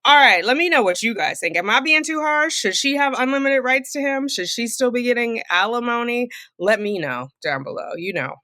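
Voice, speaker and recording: A woman's voice: a little high, expressive, fairly quick, few pauses, bright, forceful tone, no room sound, studio quality. A middle-aged woman from California giving casual, opinionated commentary in a blunt, chiding, colloquial way. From Fresno, California. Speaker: commentary channel host.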